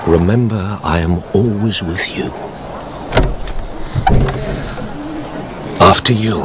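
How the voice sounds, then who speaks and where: An actor playing a middle-aged count in a radio drama, brooding and vengeful beneath a measured, formal, courtly manner. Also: male voice, low-pitched, over loud music, natural voice. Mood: quiet reassurance. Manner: measured, formal, quietly intense.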